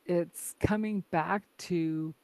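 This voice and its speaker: A woman's voice: fairly low pitch, steady tone, takes it slowly, darkish tone, no room sound. A woman hosting a podcast from Canada, chatty and laughing often, full of "like" and "right?". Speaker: podcast host. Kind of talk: casual conversation.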